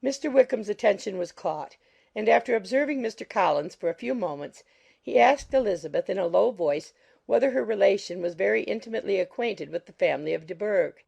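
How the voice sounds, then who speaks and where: A woman's voice: medium pitch, animated, a little fast, creaky, darkish tone, breathy edge. A woman reading scripted literary narration for an audiobook.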